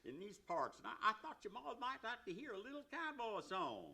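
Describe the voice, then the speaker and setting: Man acting a high, lively singing cowboy. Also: a little fast, creaky, heavily acted. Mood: genial, indignant. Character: drawling, genial drifting singing cowboy. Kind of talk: old-time radio comedy acting.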